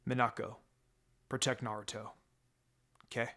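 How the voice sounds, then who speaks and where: A man's voice: medium pitch, flat delivery, measured pace, bright, forceful tone, pitched below the performer's own voice. A man voicing a heroic, protective father and ninja leader in a dramatic story reading, earnest and reassuring, over music. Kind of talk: dramatic fan fiction reading. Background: background music.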